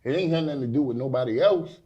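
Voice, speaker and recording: A man's voice: medium pitch, fast talker, warm tone, dull audio. Blunt man in his forties telling his story. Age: 40s. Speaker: guest. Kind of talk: casual talk show interview. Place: studio set.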